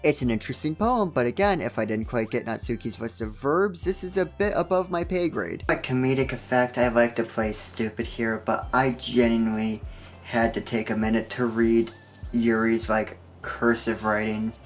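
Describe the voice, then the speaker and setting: A man's voice: mid-range voice, normal speed. A man narrating a comedic game review with sarcastic, self-deprecating jokes. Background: music.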